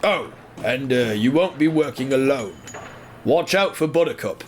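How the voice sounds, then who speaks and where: A man's voice: mid-range voice, expressive, unhurried, slight background noise, lightly acted. A man playing a stern, impatient quarry foreman in an audio drama, curt and overbearing. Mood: stern, slightly softened.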